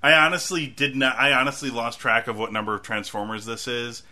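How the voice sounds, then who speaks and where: Male podcast host, very bright tone, laughing, stammering restarts, casual chat. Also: medium pitch, steady tone, brisk.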